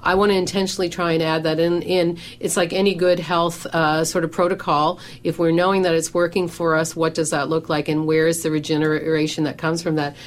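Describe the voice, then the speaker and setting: A woman's voice: sits low, a little hiss or hum. A middle-aged woman, a professional organizer and coach, talking on a radio show with a big voice that carries and lots of "you know" fillers. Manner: says she has a big voice that carries; conversational, many fillers and restarts.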